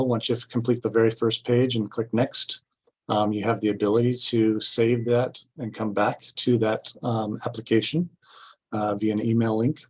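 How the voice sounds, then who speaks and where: A man giving an informational presentation at a public meeting, explaining things step by step in a halting way. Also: on the low side, low-quality recording. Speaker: city staff presenter at a grant orientation.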